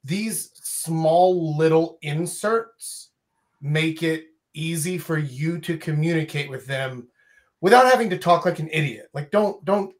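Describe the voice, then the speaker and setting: A man in his thirties hosting a livestream from a home room on a studio mic, giving emphatic advice and acting out slow, spaced-out example lines. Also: fairly high pitch. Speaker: host and dating coach. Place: home room with a couch and guitars. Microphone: studio mic on a stand.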